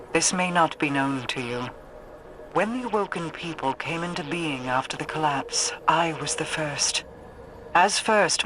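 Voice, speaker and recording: Man playing a haughty ancient king, cold and lofty, raised pitch, noisy game audio. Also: close to an everyday voice. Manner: lofty, imperious and disdainful. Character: regal ancient male Awoken ruler, proud, cold.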